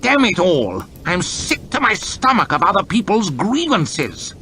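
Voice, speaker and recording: A man's voice: high voice, expressive, normal speed, fairly bright tone, slight background noise, voice pushed up. A man doing a pompous, bellowing lord chamberlain. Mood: haughty. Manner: arrogant, bellowing and contemptuous. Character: pompous, irritable middle-aged male lord chamberlain.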